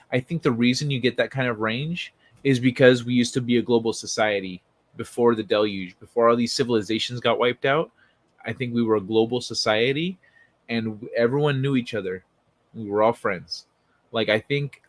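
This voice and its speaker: A man hosting a livestream in an informal, excitable, sweary way, talking casually and reading things aloud. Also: medium pitch, dry recording. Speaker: livestream host.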